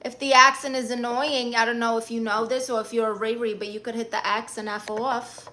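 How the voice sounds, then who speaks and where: A woman's voice: fairly high pitch, little pitch movement, brisk, sharp tone, a little hiss or hum. A woman from Brooklyn, chatting casually on a live stream, greeting viewers and firing back sassy, playful comebacks to their comments. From Brooklyn, New York. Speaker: livestreamer.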